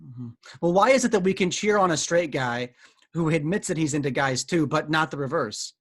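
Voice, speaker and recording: A man's voice: on the high side, expressive, moderate pace. An actor, a man, playing a level-headed college-age peacemaker among friends in a play reading. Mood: challenging.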